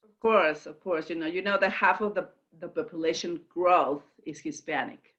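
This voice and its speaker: A woman's voice: fairly low pitch, normal speed, a bit bright. A woman from Mexico with a Mexican Spanish accent talks on a video call. She is enthusiastic and chatty, with fillers and restarts. The audio is dull and lo-fi. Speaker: guest speaker. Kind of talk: casual conference call chat.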